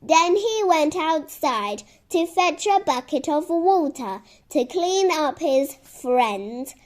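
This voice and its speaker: A woman reading a scripted children's story as narrator, with deliberate, expressive delivery. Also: high voice.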